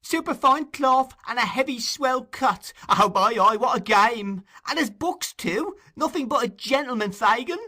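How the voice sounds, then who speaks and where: A man's voice: very high voice, animated, measured pace, hardly any pauses, pushed and bright, pitched above the performer's own voice, full character voice. A man voicing a boisterous, mocking boy pickpocket in an audiobook, exclaiming with theatrical glee.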